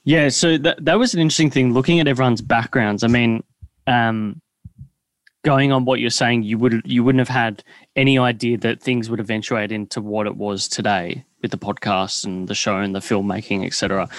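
A man hosting a casual podcast interview, asking relaxed, conversational questions. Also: mid-range voice, normal speed, dry recording. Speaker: podcast host.

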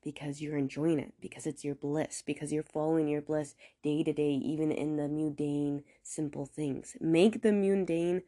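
A woman hosting a podcast alone, a bit stuffed up from a cold, talking slowly and earnestly with some rambling. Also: low-pitched, steady tone, mellow. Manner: earnest, encouraging, a little rambling; deliberately slow, careful pronunciation. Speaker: podcast host. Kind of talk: casual solo podcast monologue.

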